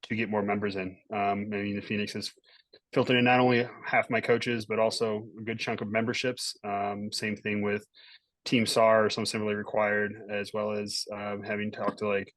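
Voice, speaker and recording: Low, even-toned man, dry close sound. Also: moderate pace, no room sound, rough recording. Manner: encouraging, informal. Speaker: host, gym owner. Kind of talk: casual closing remarks on a group video call.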